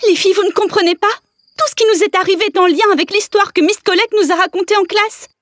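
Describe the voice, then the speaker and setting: A woman voicing an insistent, excitable schoolgirl sleuth in a French cartoon, urgent and assertive. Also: very high voice, fast, slight background noise.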